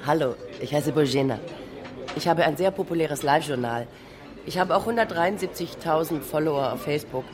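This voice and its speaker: A woman's voice: low voice, fairly quick, a crowd in the background, close to an everyday voice. A woman voicing an irritable, combative female blogger in a documentary voice-over. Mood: self-assured, defiant. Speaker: voice-over speaker for an interviewee. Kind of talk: translated interview voice-over.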